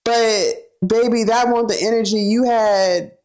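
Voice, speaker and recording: A woman's voice: on the high side, fairly even intonation, measured pace, a little mellow. A young woman vlogger chatting casually as she cooks, telling a gossipy story full of "like" and "you know" while walking through each kitchen step. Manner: cooks while talking, narrating kitchen steps.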